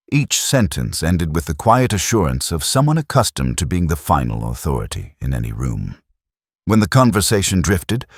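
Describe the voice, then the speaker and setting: Very deep-voiced man narrating a brooding mystery. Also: breathy edge, no echo, studio quality. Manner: measured, literary, brooding delivery. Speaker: narrator of a detective story. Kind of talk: scripted first-person audio drama narration.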